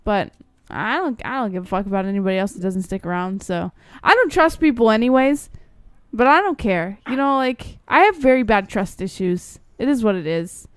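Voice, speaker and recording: A woman's voice: mid-range voice, expressive, normal speed, smooth, no echo, clean studio sound. A woman hosting a call-in show in casual, candid chat, speaking in a blunt, earnest, sweary way.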